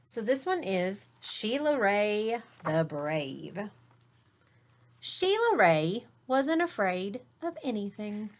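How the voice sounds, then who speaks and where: Slow, gentle woman teacher reading aloud. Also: medium pitch, sing-song. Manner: explains words and points out pictures, drops to a whisper. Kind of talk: reading a picture book aloud to children.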